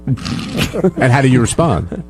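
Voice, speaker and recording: A man's voice: mid-range voice, big pitch swings, slow pace, background noise. A man hosting a casual radio talk show, asking short questions and taking calls. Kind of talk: casual interviewing.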